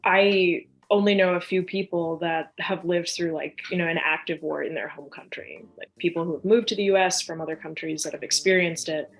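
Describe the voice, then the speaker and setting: Chatty woman, lowish voice, faint music. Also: brisk, vocal fry, few pauses, poor audio. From United States, near Chicago. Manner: chatty and animated, lots of fillers and quoted asides. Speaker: podcast guest. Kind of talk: casual conversational interview.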